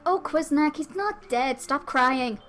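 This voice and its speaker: A dramatic young alien soldier from a visual novel, played by a woman reading aloud. The voice is fairly high and emphatic, with a touchy, exclamatory delivery. Faint music sits underneath. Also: lively intonation, takes it slowly, a bit bright, exaggerated voice. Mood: upset, exasperated.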